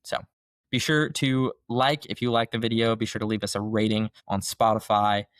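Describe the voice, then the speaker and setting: A young man hosting his own podcast, chatting casually and rambling with enthusiasm while his voice is still hoarse from being sick. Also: on the low side, level tone, moderate pace, fairly bright tone, no room sound, studio quality. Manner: enthusiastic, rambling, fan gushing. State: hoarse, recovering voice. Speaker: podcast host. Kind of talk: casual solo podcast chat.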